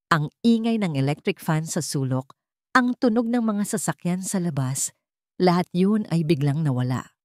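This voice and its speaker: A woman's voice: on the low side, darkish tone, no echo, clean studio sound. A woman reading scripted fiction as a dramatic story narrator.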